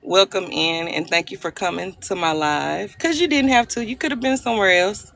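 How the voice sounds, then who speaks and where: A chatty, playful woman talks to her viewers over a live stream, her voice gravelly with a bright, forceful edge. She laughs easily and greets people by name. The phone recording is close and dry. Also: medium pitch, moderate pace, dry recording. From Arkansas, US South. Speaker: livestream host.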